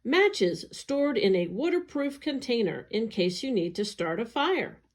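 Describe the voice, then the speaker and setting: A woman's voice: a little high, big pitch swings, measured pace. A woman reading a scripted educational article aloud for children, in a careful, instructional way. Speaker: educational narrator, agency staff reader.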